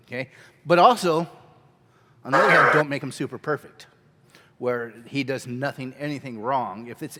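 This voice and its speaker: A man leads a children's ministry workshop in an energetic, conversational way, full of quick asides. His voice sits at an ordinary middle pitch. He speaks into a handheld mic, and the big church hall leaves a long reverb behind him. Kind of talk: conference workshop talk. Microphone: handheld microphone.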